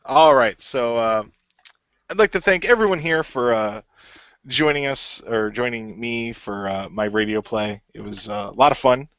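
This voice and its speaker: A man's voice: mid-range voice, normal speed, fairly bright tone, smooth, no echo. A man hosting a casual wrap-up chat about his radio play, laughing often and telling stories loosely. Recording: dull lo-fi audio.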